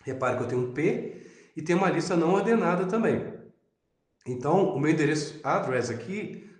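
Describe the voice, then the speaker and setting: A Brazilian man with a Minas Gerais accent teaching web programming in a relaxed lecture, often tagging sentences with "né?". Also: on the high side, fairly quick, echoey room. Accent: Minas Gerais Portuguese. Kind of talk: recorded lecture over slides.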